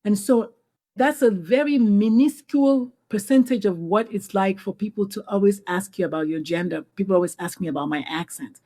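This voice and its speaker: Nigerian woman, earnest and animated. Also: medium pitch, normal speed. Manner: earnest, animated storytelling with frequent restarts. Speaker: physician and podcast guest. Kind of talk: conversational interview.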